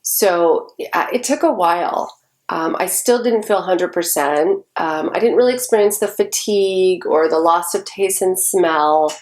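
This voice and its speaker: A woman's voice: lowish voice, measured pace, darkish tone. A woman in her forties, stuffy and congested, chatting casually to the camera in a rambling way with lots of ums. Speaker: vlogger.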